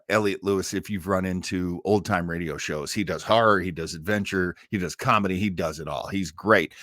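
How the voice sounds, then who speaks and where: A man's voice: lowish voice, a bit bright, no room sound, studio quality. A middle-aged or older man hosting a live online listening party, chatting casually and enthusiastically like a devoted fan. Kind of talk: casual live chat introducing a radio cast.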